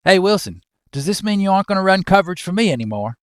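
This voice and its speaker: A man's voice: sits high, big pitch swings, fast, no room sound, clean studio sound, voice pushed up. A man playing a cheeky, nosy Australian office worker with an attempted Australian accent and matey, teasing slang. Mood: cheeky, pushy. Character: cheeky, nosy, square-jawed Australian male office mate.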